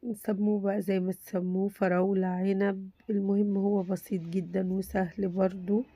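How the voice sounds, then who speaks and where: A woman with an Egyptian Arabic accent, hoarse from a cold, casually talking through a simple craft tutorial and reassuring viewers that it is very easy. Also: mid-range voice, little pitch movement, moderate pace, mellow, no room sound. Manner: chatty, reassuring, repeats "very easy". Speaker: crafts channel host.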